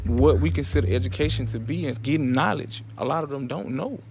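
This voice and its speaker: A man with a Southern US accent talks things through in plain colloquial speech. His pitch is average, and music is clearly audible behind him. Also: fairly quick. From Birmingham, Alabama, USA. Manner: colloquial, explanatory. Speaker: prison poetry student. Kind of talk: conversational discussion.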